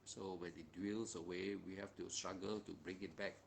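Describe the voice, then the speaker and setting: Low-voiced man teaching meditation. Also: fairly even intonation, creaky, slight background noise. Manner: halting, repetitive, with many fillers and restarts. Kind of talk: live-streamed meditation teaching.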